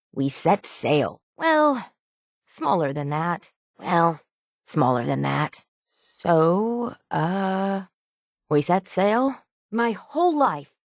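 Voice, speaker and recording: An actress playing a weathered, stubborn female sailor in a video game, with a gruff, plainspoken old-salt way of talking. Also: fairly low pitch, no echo, studio quality. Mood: sheepish, then brooding. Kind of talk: video game character voice acting.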